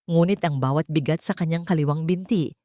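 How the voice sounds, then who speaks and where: A woman's voice: low voice, a little fast, dark tone, no echo, studio quality. A woman with a Filipino accent reading scripted, dramatic story narration.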